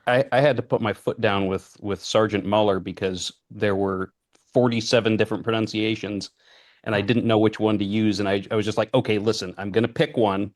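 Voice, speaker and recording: A man with a fairly low voice chats casually as a voice actor on a panel. He starts haltingly and repeats words as he goes. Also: fairly even intonation, no room sound, studio quality.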